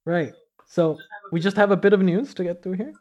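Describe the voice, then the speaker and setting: Slightly high-voiced guy hosting a podcast, upbeat, reads off news and questions. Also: steady tone, brisk, darkish tone, muffled recording. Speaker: podcast host. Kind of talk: casual podcast chat.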